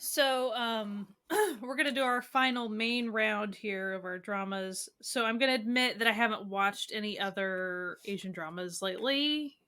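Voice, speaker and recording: Young woman podcaster, bright sharp voice, laid back, says um a lot. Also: medium pitch, unhurried. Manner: easygoing, explains with fillers like "um". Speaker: podcast co-host. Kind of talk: casual chat recommending shows.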